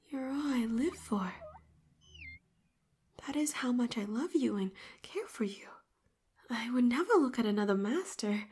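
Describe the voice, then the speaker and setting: A woman voicing an obsessive, clingy female robot companion in an audio roleplay, talking breathy and hushed into a close mic, swinging from pleading to eerily calm control. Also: fairly high pitch. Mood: devoted, hushed. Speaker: voice actress.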